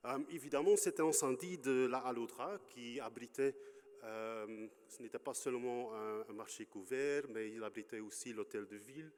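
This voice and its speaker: Flemish-accented Belgian man, lecturing in a reverberant hall, halting with frequent fillers, middle pitch. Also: a little mellow. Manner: halting, searching for words, many fillers. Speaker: conference speaker. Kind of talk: academic lecture with slides.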